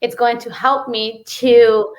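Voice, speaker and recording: Female interview guest, Mexican accent, native Spanish speaker, higher-pitched voice, halting and conversational personal storytelling. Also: flat delivery. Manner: halting, peppered with "you know".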